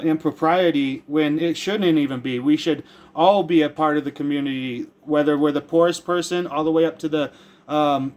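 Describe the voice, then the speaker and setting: An American man speaking as a caller with a complaint, earnest and rambling, halting as he searches for formal words and often repeats himself. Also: a little high, a little mellow. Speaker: caller making a complaint to a public agency.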